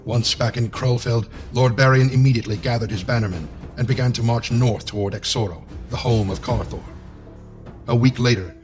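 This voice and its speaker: A man's voice: medium pitch, a little fast, faint music behind. A man narrating a medieval fantasy game story from a script, in a storytelling style.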